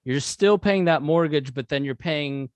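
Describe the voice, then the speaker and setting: A man's voice: on the high side, a little slow, clean tone, dry recording. A man in casual podcast conversation, asking questions as a co-host.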